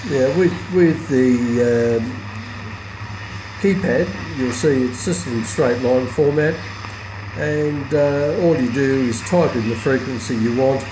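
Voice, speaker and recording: Slow, hesitant man in an echoey room. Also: medium pitch. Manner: hesitant, unscripted, pausing often mid-sentence. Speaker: radio hobbyist reviewer. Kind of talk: informal product demonstration. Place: indoor room with hard countertop. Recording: roomy echo, clear background noise.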